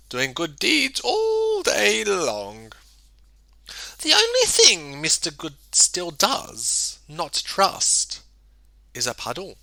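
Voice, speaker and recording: A man reading a children's story aloud in an expressive, theatrical way, with emphatic delivery and dramatic pauses. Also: a little high, creaky, no echo. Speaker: storybook reader.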